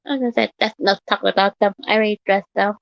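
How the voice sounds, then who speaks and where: Hoarse, gravelly woman ranting on a livestream. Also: mid-range voice, fairly even intonation, fast, dry recording, dull audio. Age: 30s. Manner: repetitive, blunt, swearing, rambling. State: slightly hoarse from yelling. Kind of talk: casual livestream rant.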